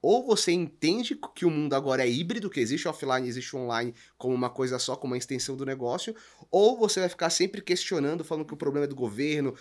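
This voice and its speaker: A man's voice: fairly high pitch, quick pace. A man with a Brazilian accent explaining business as a podcast guest, emphatic and didactic, often posing rhetorical questions. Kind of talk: conversational business explanation with examples.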